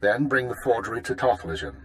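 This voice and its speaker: An actor playing a commanding imperial spymaster in a video game, measured, formal and dry. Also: male voice, medium pitch, animated, natural voice. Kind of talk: video game cutscene acting.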